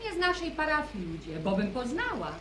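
A blunt young countrywoman tells her story in a brisk, indignant way. Her voice sits high and leaps around a lot. The old radio-play recording is roomy, with faint hiss under it. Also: huge pitch swings, fairly bright tone, exaggerated voice. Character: young village woman, plain-spoken and unafraid. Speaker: young woman caught in a storm. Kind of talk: 1970s radio drama acting.

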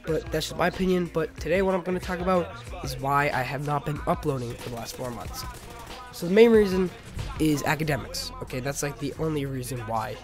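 A teenage male, slightly sick, giving casual, rambling and halting gameplay commentary as host of a gaming video. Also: a little high. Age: teenager, about 15. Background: music.

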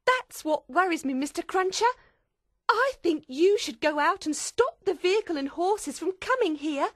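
Anxious Englishwoman, storybook character, extremely high voice, fretful, pleading, polite, dry sound. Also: lively intonation, no echo. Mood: worried, tearful. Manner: nervous, pleading, quick. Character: anxious, tearful, protective Englishwoman, fussy and polite.

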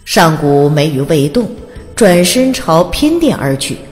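低沉而富有起伏的女声旁白. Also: female voice, low-pitched, big pitch swings, measured pace, darkish tone, in a large hall. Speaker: audiobook narrator. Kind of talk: dramatic scripted fantasy narration. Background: music.

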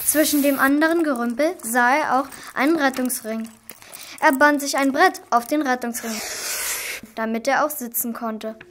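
A woman's voice: high-pitched, moderate pace. A primary school girl reading scripted storybook narration as a narrator. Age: children of primary school age. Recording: clearly noisy amateur recording, clicks.

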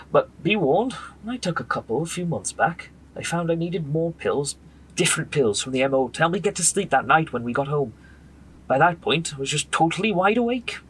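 A man's voice: fairly high pitch, breathy edge, poor audio. A man playing a genial, commanding British RAF bomber pilot in a radio drama, with jovial clipped military banter. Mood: chatty. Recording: loud aircraft engine drone behind. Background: aircraft engine noise.

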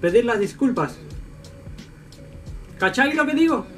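A young man playing a formal, polite Chilean school director on a prank call, with an imitated Chilean accent and halting manner. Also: extremely high pitch, animated, a bit bright, distant mic, faint music behind, pitched above the performer's own voice, full character voice. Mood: insistent, polite.

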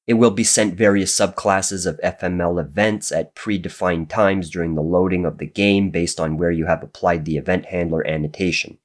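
Deep-voiced man with a nasal cold. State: getting over a cold, raspy and nasal. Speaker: coding tutorial host. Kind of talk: screen-recorded programming tutorial narration.

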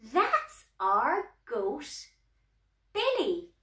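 A woman voicing a bossy, reassuring female owl from a children's storybook, with firm, drawn-out words. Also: high voice, huge pitch swings, a bit bright, heavily acted. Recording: echoey small room.

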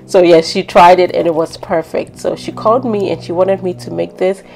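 A woman's voice: on the low side, steady tone, normal speed, creaky, a little mellow, a little hiss or hum, low-quality recording. A woman with a Ghanaian accent narrating a home cooking tutorial in a chatty, explanatory way. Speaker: home cook hosting a cooking channel. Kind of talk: instructional cooking narration, conversational.

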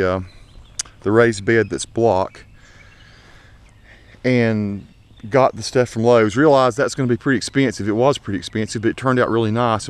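A man giving a folksy, unscripted, conversational tour of his home garden, recorded outdoors on an action camera while walking. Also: lowish voice. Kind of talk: casual vlog narration. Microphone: action camera mic.

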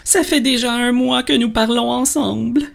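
A woman's voice: a little high, steady tone, moderate pace, vocal fry. A woman voicing a weary, grumbling lamplighter in a storybook dramatization, with a drawling, complaining manner. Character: weary, grumbling lamplighter, slave to his orders.

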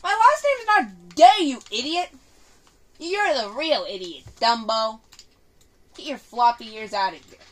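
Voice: medium pitch, big pitch swings, a little slow. A female gaming host giving casual, improvised commentary in a bossy, teasing manner, slipping into play-acted bickering. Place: indoor room, filming a TV screen. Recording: noisy room recording with clicking.

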